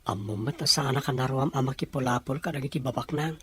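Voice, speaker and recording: A man's voice: medium pitch, quick pace, sharp tone, breathy edge. A man playing a humble, bashful young suitor from a poor family in an audio drama, earnest and respectful. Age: young adult. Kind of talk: scripted audio drama dialogue.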